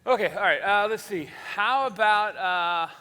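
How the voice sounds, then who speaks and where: Man playing high-voiced, easygoing radio show boss. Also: a little slow, bright, forceful tone, smooth. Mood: upbeat, bustling. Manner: hesitant, folksy, chatty. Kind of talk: theatrical stage comedy acting. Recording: reverberant hall, live stage sound.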